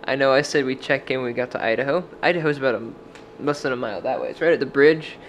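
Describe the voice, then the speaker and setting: A man's voice: medium pitch, fast, a little hiss or hum. A young man from Washington state, talking into a handheld camera in a dim motel room and telling a rambling, casual story full of "like" fillers. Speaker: vlogger. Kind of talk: casual travel vlog with voice-over. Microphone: camera held at arm's length.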